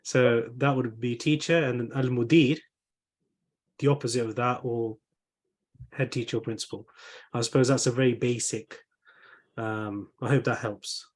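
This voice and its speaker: Calm man explaining over a call. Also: mid-range voice, moderate pace, pauses often. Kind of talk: explaining vocabulary.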